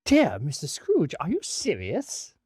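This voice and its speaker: Woman as a husky, astonished charity collector. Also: low voice, wildly swooping pitch, unhurried, dark tone, dry recording, studio quality, heavily acted. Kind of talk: community radio drama acting.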